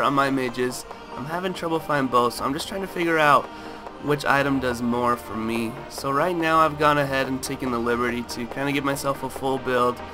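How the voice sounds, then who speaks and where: A man with a raspy, just-woken-up voice talking through a game item comparison in a relaxed way, full of fillers. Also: medium pitch, poor audio. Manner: relaxed, lots of fillers and hedges. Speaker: gaming video host. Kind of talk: casual game commentary. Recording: loud background music.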